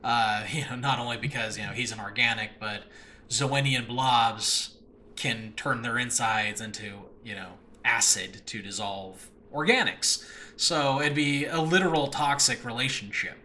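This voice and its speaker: Male, casual solo podcaster. Bright, punchy tone, earnest and self-deprecating, loose unscripted delivery, slightly noisy home recording. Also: mid-range voice, a little slow. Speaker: host and audio drama creator. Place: makeshift room in a new home.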